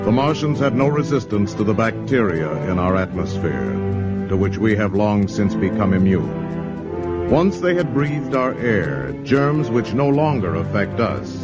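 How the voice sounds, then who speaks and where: Loud music sounds behind a grave male storyteller. He narrates in the formal style of 1950s radio drama, in a low voice.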